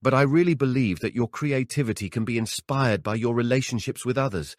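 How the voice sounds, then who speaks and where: A man's voice: medium pitch, brisk, no room sound, studio quality, pitched above the performer's own voice, natural voice. A man voicing an earnest, exasperated wife in an audiobook, with a chiding manner. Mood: earnest, coaxing. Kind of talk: dramatic audiobook character voice.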